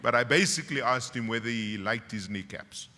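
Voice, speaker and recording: A middle-aged man with a South African English accent giving a graduation speech as a university professor, a dramatic storyteller who swings from loud, wry punchlines to hushed, emotional moments. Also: medium pitch, a little fast, a little hiss or hum. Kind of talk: ceremonial speech with personal stories. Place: large graduation hall. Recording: long hall reverb from a ceremony PA.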